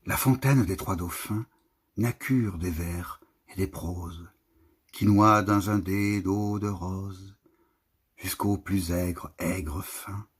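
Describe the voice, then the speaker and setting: Male poetry reader: deep, heavily rasped voice, expressive and declamatory, measured phrasing. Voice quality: husky, raspy, low voice. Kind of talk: expressive literary poem recitation.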